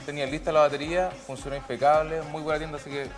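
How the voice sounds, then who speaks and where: Fast-talking salesman guest, man. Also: fairly high pitch, level tone, soft background music. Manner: earnest, salesy. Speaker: store representative guest. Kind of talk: promotional studio chat. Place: TV studio.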